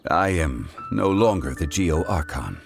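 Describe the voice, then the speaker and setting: An actor playing a calm, composed former god who speaks formally and with dignity, over game music. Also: male voice, extremely low pitch, unhurried, gravelly, full character voice. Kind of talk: video game voice acting. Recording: game soundtrack music behind. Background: orchestral game music.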